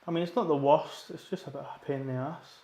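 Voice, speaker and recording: Man, Scottish accent, higher-pitched voice, stuffy with a cold, casual workout vlog, echoey room. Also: fairly even intonation, quick pace, warm tone. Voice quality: congested, sniffly. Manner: informal, mildly sweary. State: getting over a cold. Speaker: fitness vlogger. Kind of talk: casual workout vlog chat and rep counting. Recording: roomy, echoing sound.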